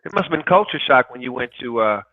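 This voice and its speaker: A man's voice: mid-range voice, expressive, fast, no echo. A man hosting an online interview, asking curious follow-up questions and laughing along with his guest. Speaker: interview host. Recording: tinny phone-like call audio.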